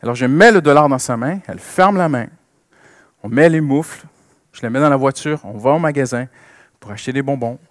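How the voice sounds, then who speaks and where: A man's voice: mid-range voice, brisk, dry recording, clean studio sound. A man with a French Canadian accent preaching a sermon with personal stories, emphasizing and repeating key phrases.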